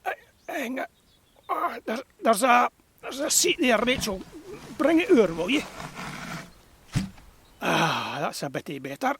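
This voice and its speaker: A man's voice: very high-pitched, sing-song, unhurried, long pauses, fairly bright tone, slight background noise, heavily acted. An actor playing a grumbling, wry eighty-year-old Scottish man in an audio drama, speaking broad dialect. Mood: wry, brisker.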